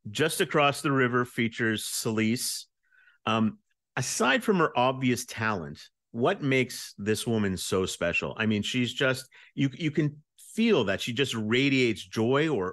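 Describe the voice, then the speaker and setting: A man of medium pitch asks a musician questions, heaping praise on the songs and often opening with "um". His voice sounds dry and close, with no room echo. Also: sing-song, a little slow, fairly bright tone. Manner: enthusiastic, full of praise, "um" before questions. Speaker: interviewer. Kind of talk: interview questions.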